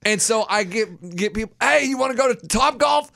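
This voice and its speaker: A young man co-hosting a sports radio show in casual banter, emphatic and loud, acting out little quoted exchanges. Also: very high-pitched, lively intonation, normal speed, creaky, no room sound, clean studio sound.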